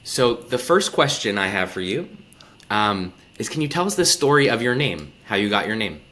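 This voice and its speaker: A man's voice: fairly low pitch, big pitch swings, moderate pace, pushed and bright, a little hiss or hum. A man hosting a podcast interview, welcoming his guest and asking thoughtful questions with enthusiasm. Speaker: podcast host.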